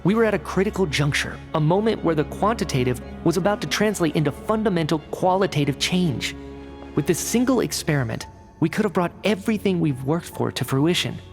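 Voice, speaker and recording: An actor playing an analytical male researcher in a video game cutscene, explaining in a measured, cerebral way. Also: on the high side, lively intonation. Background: game score music.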